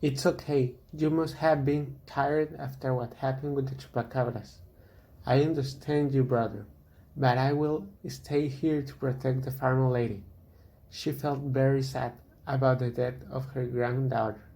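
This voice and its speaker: A man acting a steady, protective monster hunter in an amateur radio drama, consoling and matter-of-fact. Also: mid-range voice, a little slow, close to an everyday voice.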